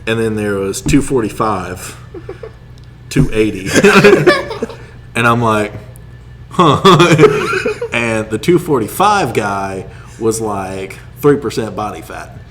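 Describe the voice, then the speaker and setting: A man's voice: fairly low pitch, slow pace, long pauses, fairly bright tone, a little hiss or hum. A man telling casual stories as a podcast co-host, joking, often laughing as he talks and acting out reactions. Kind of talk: casual storytelling banter.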